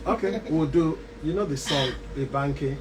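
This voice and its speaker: A man's voice: a little high, a little slow. A man hosting a radio book show, talking casually with frequent ums and repeated words. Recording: distant mic with steady background noise.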